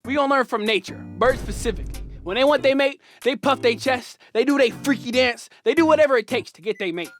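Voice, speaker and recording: A man's voice: very high-pitched, quick pace, fairly bright tone, faint music behind. A young man telling a comedic personal story in a playful, slangy, punchy way, as host of his channel.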